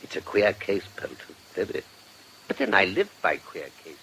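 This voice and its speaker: A man's voice: sits low, wildly swooping pitch, normal speed, gravelly, pushed and bright, slight background noise, heavily acted, poor audio. A man playing a genial, erudite medico-legal investigator with a British accent, precise and drily amused, in a radio drama. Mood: musing, absorbed. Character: urbane, genial male medico-legal investigator, self-satisfied.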